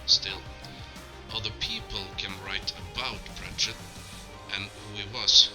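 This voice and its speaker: Norwegian man, Norwegian native, slightly low voice, very slow solemn tribute read, music bed behind. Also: fairly even intonation. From Norway. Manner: slow, measured and solemn, with small self-corrections. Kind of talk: scripted spoken tribute.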